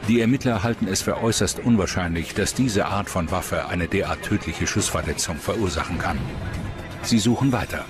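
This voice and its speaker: A man's voice: sits low, moderate pace, music in the background. A man narrating a true-crime documentary from a script, as its narrator.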